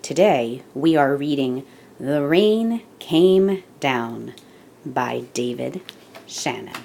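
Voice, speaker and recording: A woman reading a children's picture book aloud with deliberate, expressive emphasis, as the storyteller and host. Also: rumbling low, vocal fry, slight background noise.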